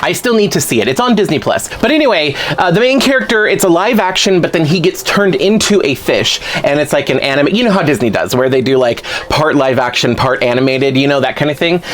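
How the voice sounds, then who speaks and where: A man chatting casually to camera at home as he opens fan mail, excitable and rambling with little asides. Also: sits high, slight background noise. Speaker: vlogger opening fan mail. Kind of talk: casual unboxing chat.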